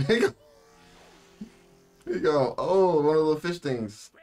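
A man's voice: fairly high pitch, lively intonation, slow, a little mellow. A young American man making short, slangy, exclamatory remarks as casual reaction commentary. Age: young adult. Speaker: anime reaction show host. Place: small indoor room. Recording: music in the background. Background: anime episode music.

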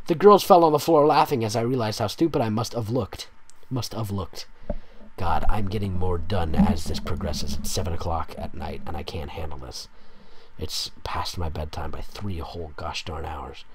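Exasperated man with lively, swinging delivery. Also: deep voice, normal speed, slightly rough. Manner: exasperated, sarcastic. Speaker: fanfic reader and commentator. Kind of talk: dramatic reading with mocking asides.

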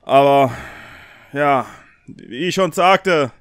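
A man voicing a mournful, courteous town guard who speaks haltingly in a tabletop game. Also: a little high, smooth, no room sound, studio quality. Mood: subdued, sad. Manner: halting, hesitant.

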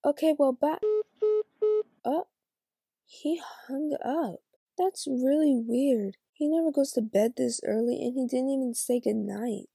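A woman playing a hurt, suspicious high school girl with dramatic, sassy teen talk in an amateur animated drama. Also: unhurried, gravelly, breathy edge, no room sound, studio quality, exaggerated voice. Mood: puzzled. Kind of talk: amateur voice acting for an animated mini movie.

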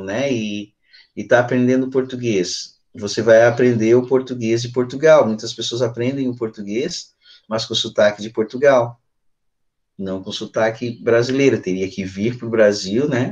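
A man's voice: on the low side, a little mellow. A man in his forties with a Brazilian accent, an English teacher patiently explaining step by step on a video call, often adding little checking tags. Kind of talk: online lesson, explaining and switching between Portuguese and English. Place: small room at home or study with bookshelves. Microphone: computer mic on a video call.

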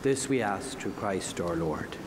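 A man's voice: deep voice, lively intonation. Solemn older man praying in echoey hall. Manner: solemn, measured intoning. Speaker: presiding bishop. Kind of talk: liturgical prayer at Mass. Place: large convention hall stage. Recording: echoey hall, faint noise.

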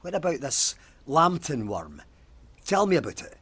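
An actor playing a pious, stubborn, haunted police sergeant in an audio drama, speaking in an intense, formal, demanding way. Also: male voice, on the high side, sing-song, moderate pace, a bit bright, slightly rough, heavily acted. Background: music.

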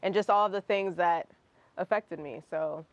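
A woman's voice: on the low side, normal speed, dull audio. A young woman, a singer whose first language is Russian, answering interview questions casually outdoors, restarting her sentences and laughing between phrases. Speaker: guest singer. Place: outdoor terrace with garden.

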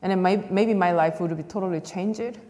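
A woman's voice: fairly low pitch, fairly quick, warm tone. A woman from South Korea, speaking English with a Korean accent, telling an earnest story as an astronaut panelist who laughs often. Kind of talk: panel talk. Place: large event hall, on stage. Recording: long reverb of a large hall.

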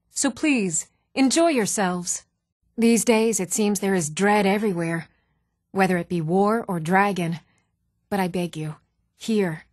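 An actress playing an even-toned, earnest young townswoman in a fantasy video game, with measured delivery. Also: medium pitch, dry recording, studio quality, close to an everyday voice. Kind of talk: video game character voice lines.

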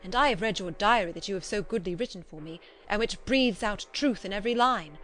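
A woman's voice: mid-range voice, fairly quick, fairly bright tone, soft background music, close to an everyday voice. A woman voicing a kindly old foreign male doctor in an audiobook drama, emphatic and courtly, speaking formal broken English. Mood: earnest, emphatic.

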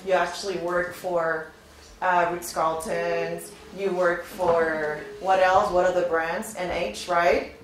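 A woman's voice: on the low side, steady tone, takes it slowly. Chatty woman in an echoey classroom. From born in Venezuela, raised in Spain. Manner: upbeat, chatty, asks the audience frequent questions. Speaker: recruiter presenting to students. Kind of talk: informal presentation to a class. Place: classroom with seated students. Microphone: far camera, no visible mic. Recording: very echoey classroom, faint noise.

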